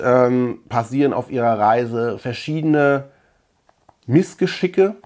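A middle-aged man giving a casual film review to camera as a host, halting as he searches for words. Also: medium pitch, darkish tone. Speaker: host of a film collection channel. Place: small room lined with shelves of collectibles.

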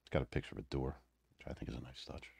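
A man giving a casual, unscripted electronics demo in a halting, self-deprecating way with wry humor. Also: very deep voice, completely flat delivery, creaky, darkish tone, slightly rough, no echo. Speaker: electronics hobbyist presenter. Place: indoors at a home workbench.

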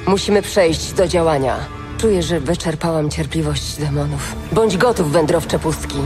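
An actress playing a determined, defiant elven warrior, terse and resolute, in a fantasy audio drama. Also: female voice, sits low, normal speed, music in the background, lightly acted.

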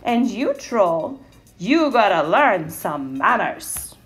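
A woman doing a rumbly giant billy goat. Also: very animated, takes it slowly, faint music behind. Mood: defiant. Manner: rumbly, firm. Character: giant male billy goat, bold and stern.

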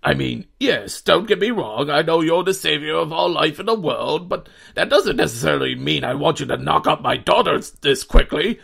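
A man plays a rambling inventor father in a game fandub. His voice is fairly high and very gravelly, swinging widely in pitch. He is theatrical, with wry, self-mocking asides. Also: animated, moderate pace, hardly any pauses, a bit bright, full character voice. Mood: awkward, suspicious. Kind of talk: amateur game fandub bloopers.

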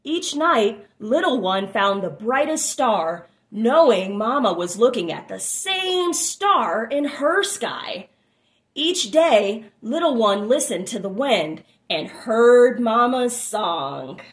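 A woman reading a children's picture book aloud with expressive, drawn-out phrasing and little asides to listeners. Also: on the high side. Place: small room at home, indoors.

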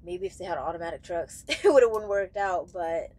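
A young woman talking casually and candidly to camera in a halting way, searching for words as she goes. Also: fairly low pitch, lively intonation, darkish tone. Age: young adult. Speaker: truck driver vlogger. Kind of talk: casual vlog talk to camera. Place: small padded truck sleeper bunk, indoors.

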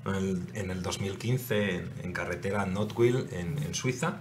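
A man in his thirties with a Spanish accent from Spain, an athlete guest answering in a lively way, speaking into a desk microphone in a studio. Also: deep voice, normal speed. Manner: lively, reads listener questions aloud, laughs. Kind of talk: casual interview answers. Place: small TV studio. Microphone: desk microphone on stand. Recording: faint background noise.

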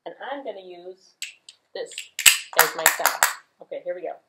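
A woman's voice: on the low side, animated, moderate pace, heavy background noise. A middle-aged woman telling a puppet-show story, talking conversationally to children. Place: small room indoors.

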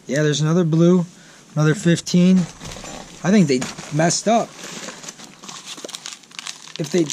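A man's voice: a little high, darkish tone. A man in his thirties chatting casually while unboxing fishing gear in his garage, rambling and correcting himself as he goes, recorded on a wearable camera. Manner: rambling, self-correcting, easygoing. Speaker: vlogger. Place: home garage, seated on a boat. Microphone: wearable action camera. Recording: packaging crackle over voice. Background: crinkling packaging.

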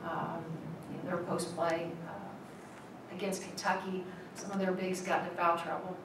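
A middle-aged woman coach at a postgame press conference, speaking in a measured way with many ums and restarts. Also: on the low side, level tone. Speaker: head basketball coach. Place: press room, seated at a table. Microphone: table mic, at a distance. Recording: echoey room, mic at a distance, noisy.

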